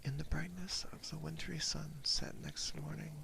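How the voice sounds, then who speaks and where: A man reading a story aloud as ASMR in a soft, hushed, unhurried whisper, close to a binaural microphone. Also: a little high, little pitch movement, gravelly, heavy background noise. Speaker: ASMR streamer.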